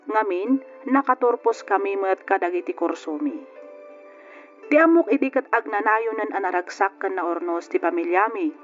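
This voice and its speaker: A man's voice: sits high, moderate pace, fairly bright tone. Male narrator, Ilocano accent; scripted letter-reading for radio drama, muffled sound, music underneath.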